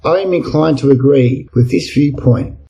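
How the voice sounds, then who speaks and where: A man's voice: medium pitch, normal speed, warm tone, husky. A man with an Australian accent reading an exam essay as scripted narration. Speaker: essay reader.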